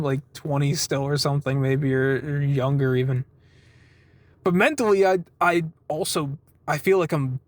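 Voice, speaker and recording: Male, twenties, high-pitched voice, close dry recording, casual rambling storytelling, informal, occasional swearing. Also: no echo. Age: 20s. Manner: acts out quoted exchanges. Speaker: vlogger giving a weekly update.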